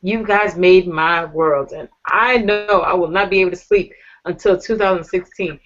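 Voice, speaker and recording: A woman's voice: on the low side, normal speed. Woman host gushing over her guests, playful, kinda muffled lo-fi sound. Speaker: talk show host. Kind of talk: casual online panel show. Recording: dull lo-fi audio.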